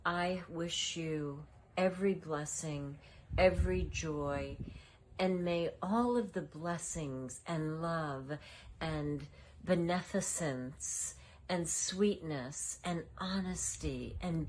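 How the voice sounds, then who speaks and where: Gentle low-voiced woman giving blessings. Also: slight background noise, rough recording. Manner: gentle, earnest, self-deprecating. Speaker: well-wisher. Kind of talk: recorded birthday message.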